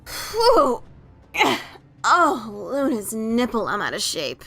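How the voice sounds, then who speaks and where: A woman voicing a brash, adventurous pegasus mare in a theatrical, expressive fan fiction reading. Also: a little high, takes it slowly, soft background music, full character voice. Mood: winded. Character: brash adventurous pegasus mare, writer, bickering older sibling.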